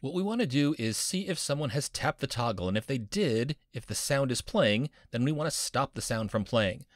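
A man's voice: mid-range voice, lively intonation, normal speed, dry recording, studio quality. A man teaching a screen-recorded coding lesson as a university instructor, upbeat and playful with jokey wordplay.

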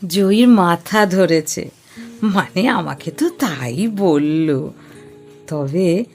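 A woman's voice: on the low side, animated, very slow, darkish tone, faint music behind, exaggerated voice. An actress playing a motherly older aunt in an audio drama, confiding and knowing. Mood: knowing, fond.

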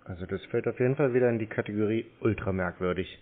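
A man's voice: lowish voice, fast, phone-quality sound. A man talking casually on the phone as a scam baiter, blunt, dry and needling. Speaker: host, prank-call scam baiter. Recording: thin phone sound, clear noise.

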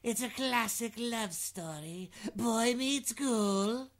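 A performer, heard as a woman, voicing a ghoulish horror host with a creaky, theatrical, gleeful delivery. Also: a little high, slow pace. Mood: gleefully macabre. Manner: theatrical, punning.

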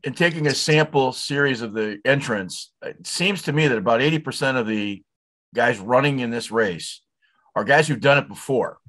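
A man's voice: medium pitch, normal speed, a bit bright. A man in his sixties hosting a sailing show, asking long rambling questions over a video call. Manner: chatty, rambling questions with fillers. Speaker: show host.

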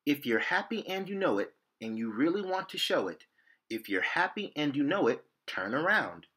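A man's voice: on the high side, big pitch swings, moderate pace, bright, forceful tone, slightly rough. A man in his thirties reading a picture book aloud to children in a sing-song, rhythmic way, in an indoor room, recorded by a camera a few feet away. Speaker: storytime reader.

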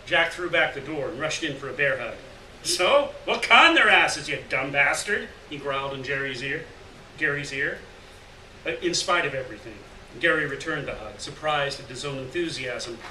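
A man's voice: medium pitch, moderate pace, sharp tone. A middle-aged man giving a live literary reading of scripted story narration in a gallery room. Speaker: story reader. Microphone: stand mic at lectern, picked up by far camera. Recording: roomy, distant mic, noticeable noise.

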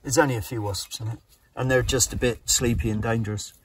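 A man's voice: medium pitch, steady tone, brisk, husky. A middle-aged man talking himself through repairing roof tiles, matter-of-fact and practical, recorded outdoors on a rooftop. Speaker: vlogger renovating a house. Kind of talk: casual narration while working. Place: outdoors on a high tiled rooftop. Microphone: handheld camera, often several steps away. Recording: outdoor recording from a distance, loud wind noise.

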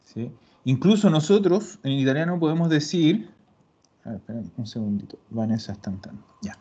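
A man teaching Italian in an online class, patient and explaining slowly, repeating words. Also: medium pitch, expressive, mellow, husky. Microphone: video call. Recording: dull lo-fi call audio.

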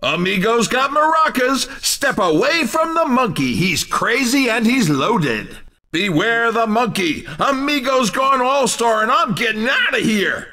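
A man giving excited, playful arcade racing game commentary in a hyped, shouting announcer delivery. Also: very high voice, a little slow, distant mic. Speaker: video game race commentator.

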